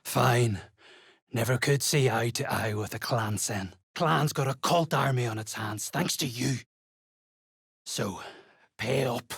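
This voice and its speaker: Gravelly, foul-mouthed duergar mercenary. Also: medium pitch, slow, long pauses, no room sound, studio quality, exaggerated voice. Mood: grudging, threatening. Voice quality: gravelly, husky. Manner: gruff, sweary, rough colloquial speech. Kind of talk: fantasy video game character acting.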